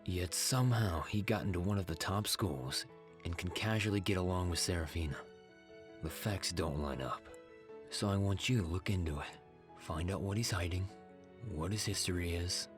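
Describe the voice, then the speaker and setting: Guy doing a cold bossy student leader for a comic dub, really deep voice, music behind him. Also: moderate pace, close to an everyday voice. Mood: cold, suspicious. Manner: curt, authoritative. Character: cold, commanding, suspicious young male student leader.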